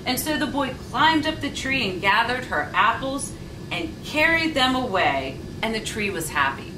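A middle-aged woman reading a children's storybook aloud with deliberate, expressive phrasing. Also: fairly high pitch. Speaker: volunteer story reader. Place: indoor sitting room with leather sofa. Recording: roomy, distant mic, faint noise.

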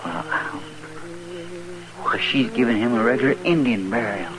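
An American actor playing an earnest, simple western deputy with a folksy rural drawl, hesitant and deferential, in a 1950s radio drama. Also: male voice, medium pitch, lively intonation, moderate pace, close to an everyday voice. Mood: puzzled. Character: simple, earnest male frontier deputy, respectful and bewildered. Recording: very noisy old radio recording.

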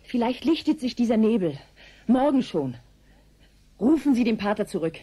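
A woman's voice: fairly high pitch, very animated, normal speed, warm tone, husky. An actress playing a grave, alarmed woman researcher in a radio drama, speaking with brooding, insistent urgency. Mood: dark foreboding. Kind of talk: 1980s German radio drama acting.

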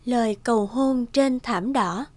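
A woman giving scripted storytelling narration for animated tales. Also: on the high side, a little slow, music in the background.

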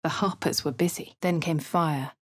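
Wry posh english rpg heroine, husky voice, kinda low, game narration. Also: female voice, fairly even intonation, moderate pace, no room sound, clean studio sound. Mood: measured, wry. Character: posh, wry female fantasy adventurer. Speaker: video game player character. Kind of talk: scripted game narration and character lines.

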